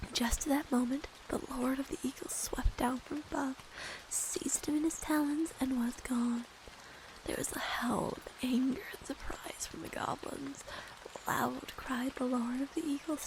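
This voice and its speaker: A woman with a stuffy nose reading a story aloud in a soft, gentle ASMR whisper. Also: high-pitched, fairly even intonation, unhurried, background noise.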